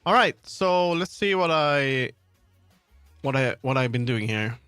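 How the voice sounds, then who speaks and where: A man's voice: mid-range voice, expressive, measured pace, a bit bright, clean tone, no echo. Rambling male streamer, close dry sound. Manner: rambling, thinks aloud, trails off mid-sentence. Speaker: livestreamer, game developer. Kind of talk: casual rambling livestream chat while working. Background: background music.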